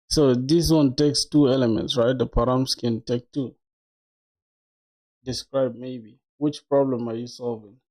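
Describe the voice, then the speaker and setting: A man's voice: medium pitch, darkish tone. A man in his twenties chatting casually on a coding livestream, slangy and familiar with his viewers, talking to them like buddies. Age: 20s. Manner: slangy, addresses viewers as bro and dog. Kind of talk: casual live-stream chat while coding.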